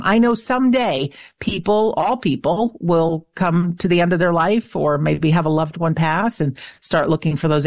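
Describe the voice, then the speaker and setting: A middle-aged woman with a low voice and noticeable vocal fry. She talks in an earnest, emphatic way, like a talkative interview guest, and the recording is dry and close. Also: no room sound. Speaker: interview guest, afterlife show host and author. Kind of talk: conversational radio interview, personal storytelling.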